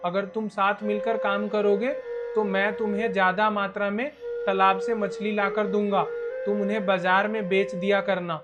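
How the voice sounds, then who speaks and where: A man's voice: high voice, flat delivery, moderate pace, natural voice. A man voicing a friendly, hardworking fisherman husband in a cartoon moral story, explaining things plainly. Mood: helpful. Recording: loud music behind.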